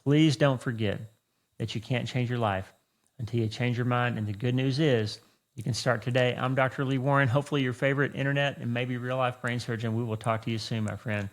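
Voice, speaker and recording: A man hosting a podcast in a conversational, earnest, effusive and folksy way, with a creaky voice. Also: mid-range voice, steady tone, a little fast. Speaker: podcast host. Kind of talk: conversational interview and podcast talk.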